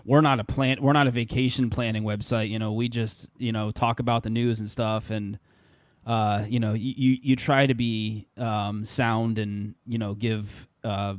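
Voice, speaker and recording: A man hosting a podcast, chatting casually and enthusiastically in a halting way, often repeating his words. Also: fairly low pitch, flat delivery, no echo. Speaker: podcast host.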